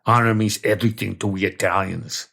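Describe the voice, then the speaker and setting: Man doing a scarred gangster, slow, quiet, threatening, a bit low. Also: fairly even intonation, creaky. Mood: menacing. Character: menacing scarred male gangster, calm and cruel.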